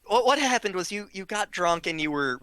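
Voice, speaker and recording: A man telling humorous anecdotes casually, in a rambling, halting and giggly way. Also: high voice, lively intonation, bright, forceful tone, clear, resonant tone. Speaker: storyteller, regular of the show.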